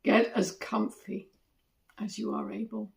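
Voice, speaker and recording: A woman meditation teacher leading a guided body scan in a gentle, soothing manner, with long pauses between short phrases. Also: medium pitch, little pitch movement, vocal fry.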